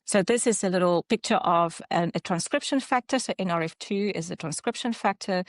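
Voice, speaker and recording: South African woman clinician presenting slides, lowish voice, dry close sound, explains with pauses and restarts. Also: no echo. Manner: halting explanation with fillers and self-corrections. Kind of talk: online webinar presentation.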